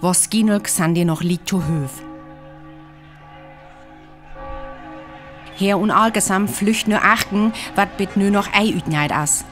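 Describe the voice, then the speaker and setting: An actress playing a grave radio reporter at a disaster, with a North Frisian accent, in a radio drama. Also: female voice, medium pitch, moderate pace, fairly bright tone, soft background music, lightly acted. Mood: anxious reporting. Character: grave female radio reporter witnessing a Martian invasion.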